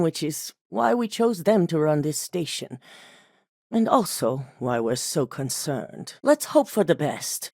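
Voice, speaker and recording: A calculating female executive gives orders with clipped, unbothered authority. Her voice sits fairly low yet rises and falls widely as she weighs her words. The studio recording is completely dry, with no room sound. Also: no room sound, exaggerated voice. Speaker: corporate boss giving missions. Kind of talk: video game voice acting.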